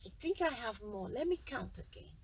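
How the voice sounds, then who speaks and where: A woman voicing a greedy, menacing fairytale giant with slow, drawn-out, emphatic delivery. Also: on the high side, sing-song, darkish tone, slightly rough, full character voice. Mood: greedy, pleased. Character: greedy, menacing giant man from a fairytale, gloating over treasure.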